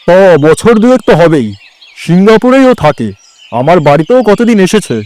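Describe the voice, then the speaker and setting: High-voiced, emotional ageing gentleman. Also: very animated, normal speed. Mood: surprised. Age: older. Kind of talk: audio drama dialogue.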